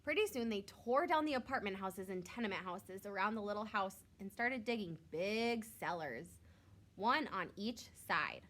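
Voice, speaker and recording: A woman reading a picture book aloud to young children, expressively, drawing out words for emphasis like a teacher. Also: mid-range voice. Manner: expressive, with drawn-out emphasis and questions to listeners.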